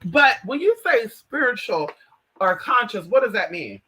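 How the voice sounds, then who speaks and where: An American man with a high, strikingly bright and forceful voice hosts a casual livestream. He talks straight to viewers in a sassy, slangy manner, leaning hard on words and calling listeners by pet names. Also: very animated, a little slow, a little hiss or hum, rough recording. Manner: sassy, slangy, emphatic, addresses listeners as honey and girl. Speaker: livestream host.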